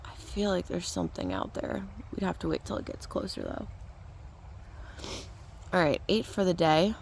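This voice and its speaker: A young woman with a low voice, gone hoarse from losing it, talks casually into a phone she holds while out walking. She rambles and thinks aloud in an easy, relaxed way. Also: moderate pace, a little hiss or hum. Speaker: mindset coach vlogger. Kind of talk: casual vlog chat. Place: outdoors on a walk. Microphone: phone held in hand.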